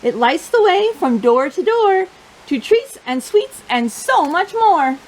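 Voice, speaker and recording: A woman hosting a children's storytime, reading a picture book in a playful, sing-song, expressive way and talking directly to young listeners. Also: very high-pitched, slow pace, low-quality recording.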